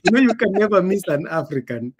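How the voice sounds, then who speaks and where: A man's voice: high voice, big pitch swings, fast, slightly rough. A man from Kenya with a Kenyan accent, hosting a podcast in a casual, emphatic way and acting out quoted dialogue. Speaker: podcast host. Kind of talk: casual conversational storytelling.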